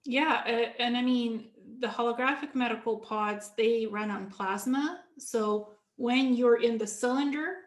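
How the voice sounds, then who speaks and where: A woman's voice: fairly high pitch, measured pace, few pauses, roomy sound. A woman from Ukraine, a guest in an interview, explaining at length in an earnest way, often restarting her sentences. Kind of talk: casual interview talk.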